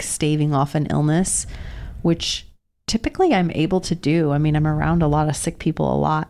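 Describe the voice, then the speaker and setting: Low, gravelly woman with a nasal cold. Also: a little mellow. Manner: earnest, reflective, slow in places. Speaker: podcast co-host. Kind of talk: casual podcast conversation.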